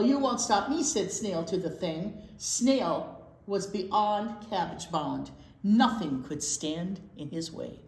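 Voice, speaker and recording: Woman storytime reader for small kids. Unhurried, animated pitch, expressive, echoey room. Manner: expressive, asking the children questions. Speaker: children's storytime teacher and reader. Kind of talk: picture-book read-aloud for young children. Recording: roomy, echoing indoor sound.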